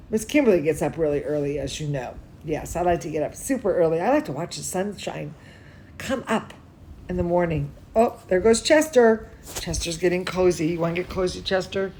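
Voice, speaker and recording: A woman telling bedtime stories and chatting cozily to young children in an expressive, soothing, singsong manner. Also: mid-range voice, slight background noise. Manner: chats playfully to a pet or companion. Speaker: dance studio teacher reading bedtime stories to children. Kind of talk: children's bedtime storytelling and cozy chat.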